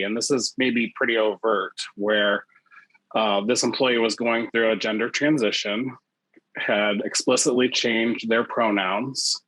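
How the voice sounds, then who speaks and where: A man's voice: lowish voice, a bit bright, no echo, rough recording. A man who leads an employee resource group, sharing workplace examples on a panel in an earnest way with frequent "you know". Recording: dry close sound.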